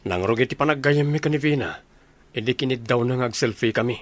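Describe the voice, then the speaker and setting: Earnest, medium-pitched Ilocano young suitor played by a man. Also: fairly quick, lightly acted. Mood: teasing, curious. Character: earnest lovestruck young man, devoted son. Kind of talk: Ilocano comedy radio drama acting.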